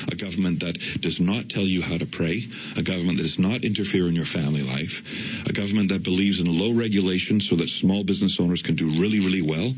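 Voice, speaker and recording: A middle-aged Canadian man, a political candidate, giving deliberate, explanatory answers in a radio interview. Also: breathy edge, rough recording. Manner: deliberate, explanatory, campaign-pitch delivery.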